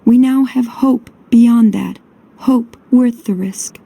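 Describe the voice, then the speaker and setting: A long echo surrounds a gentle young summoner in a video game. Her voice is fairly high and slow, solemn and hopeful. The delivery is reverent throughout. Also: gravelly. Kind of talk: dramatic video game cutscene acting.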